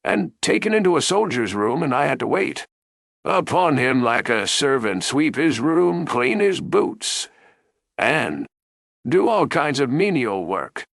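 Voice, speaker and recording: A man acts out a tired young princess from a fairy tale, plaintively recounting a dream. The words are drawn out slowly, and the pitch rises and dips widely. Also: very animated, vocal fry, a bit bright, no room sound, clean studio sound, exaggerated voice. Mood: weary complaint. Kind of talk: storybook character voice.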